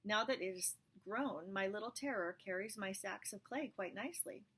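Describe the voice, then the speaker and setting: A woman voicing a gentle, humble male potter who pleads earnestly for his horse. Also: mid-range voice, normal speed, breathy edge.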